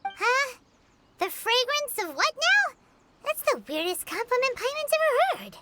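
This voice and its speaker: An actress voicing a tiny floating fairy girl in a video game, childlike, squeaky and pert. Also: sing-song, unhurried, very noisy, full character voice. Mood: baffled. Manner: pert, exclaiming, refers to herself by name. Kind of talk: video game story dialogue.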